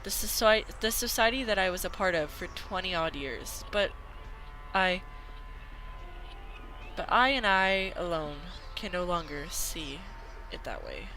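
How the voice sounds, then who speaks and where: Bright-voiced woman reading a game aloud. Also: fairly low pitch, animated, faint music behind, rough recording. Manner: halting read-aloud with stumbles and self-corrections. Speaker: gaming video host. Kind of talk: reading a visual novel aloud in a playthrough.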